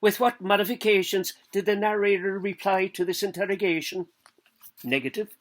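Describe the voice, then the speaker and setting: A man reading literary prose aloud like a stage actor, declamatory and deliberate, lingering over lists and pausing between phrases. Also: high-pitched.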